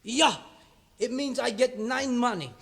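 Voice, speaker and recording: An actor playing a meek, slow-witted male cab driver in a radio serial parody, hesitant and obliging. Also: very high-pitched, a bit bright, breathy edge, full character voice. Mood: meek, befuddled.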